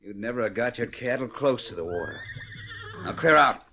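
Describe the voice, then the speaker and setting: An actor playing a mean, domineering rancher with an American Western accent in a 1940s radio western. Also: male voice, mid-range voice, animated, moderate pace, lightly acted. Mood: hard, scornful. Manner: gruff, bullying, curt. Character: mean, domineering male rancher, quick to threaten. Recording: muffled, noisy old radio recording.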